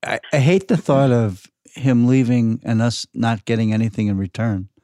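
A man's voice: mid-range voice, moderate pace, mellow, dry recording, studio quality. An older man chatting casually about sports as a podcast guest. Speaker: podcast guest, the host's father.

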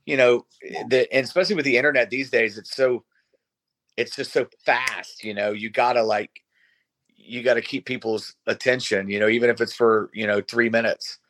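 A man's voice: mid-range voice, fairly even intonation, moderate pace, a bit bright. A man of about forty talks like a rock singer being interviewed. His speech is informal and conversational, with some swearing, in a mid-range voice. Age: around 40. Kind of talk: casual chat.

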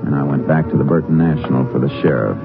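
An actor playing a laconic, authoritative Texas Ranger in a 1950s radio western, speaking in a terse, matter-of-fact way. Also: male voice, very deep voice, fairly even intonation, fast, vocal fry. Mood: brisk, determined. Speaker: lead actor and story narrator. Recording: dull vintage radio recording, music.

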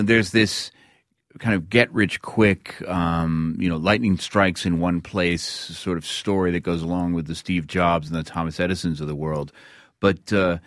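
Deep-pitched man, radio host, conversational questioning, musing halting phrasing, dry studio mic. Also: dry recording.

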